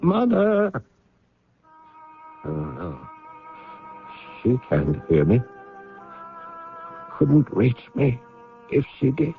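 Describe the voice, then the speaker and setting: Brooding, haunted gentleman, radio drama monologue; slow, widely swinging delivery, self-questioning and halting. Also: medium pitch, creaky, exaggerated voice. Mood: pained, fearful. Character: brooding, guilt-ridden gentleman of the house, haunted and lonely. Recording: muffled vintage radio drama recording, background music.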